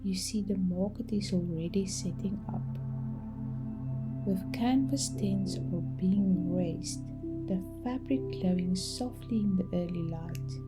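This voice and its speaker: A woman reads a soothing sleep story at a very slow pace. Her delivery is gentle and calming, with soft phrasing and pauses between thoughts. Her voice sits at an average pitch, with music clearly playing behind it. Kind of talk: soothing bedtime meditation story narration.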